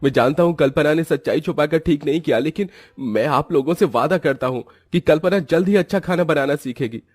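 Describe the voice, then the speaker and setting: A man's voice: a little high, a little fast, darkish tone, dry recording, clean studio sound, pitched above the performer's own voice, natural voice. A man voicing an earnest young husband in a cartoon story, speaking emphatically and pleadingly. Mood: indignant, pleading. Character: earnest young husband defending his wife.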